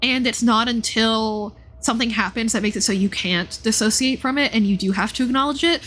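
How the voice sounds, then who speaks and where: A woman with a fairly high, very lively voice talks casually as she streams a game. She muses out loud and often cuts into her own sentences, with her pitch moving freely up and down. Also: slight background noise. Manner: animated, reflective, self-interrupting. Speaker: live streamer playing and commenting on a game. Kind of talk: casual livestream commentary, thinking aloud.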